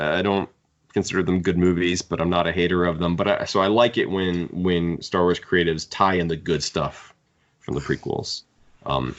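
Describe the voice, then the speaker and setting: A man hosting a podcast, casually discussing books in a halting, opinionated fan's way. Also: low-pitched. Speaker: podcast host. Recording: dull lo-fi podcast audio.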